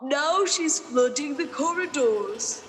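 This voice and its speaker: A woman's voice: high-pitched, lively intonation, takes it slowly, long reverb tail. A woman acting a blunt, wheedling working-class prison guard in a comic radio play, brash and colloquial. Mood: grumbling.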